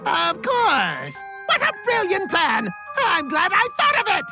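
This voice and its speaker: A man's voice: extremely high pitch, wildly swooping pitch, takes it slowly, full character voice. A man voicing a conceited, short-tempered villain ghost in a video game cartoon cutscene, bombastic and theatrical. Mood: gleeful scheming. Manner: bombastic, swooping between mutters and shrieks. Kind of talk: animated game cutscene acting. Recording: loud music behind the voice.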